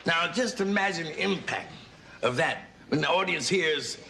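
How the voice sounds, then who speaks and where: An actor playing a bossy, pompous old father who directs an amateur play in a sitcom, with a raspy voice. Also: a little high, expressive, moderate pace. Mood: bossy, self-satisfied. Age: older. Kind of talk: sitcom acting before a studio audience. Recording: echoey, noisy sitcom stage recording.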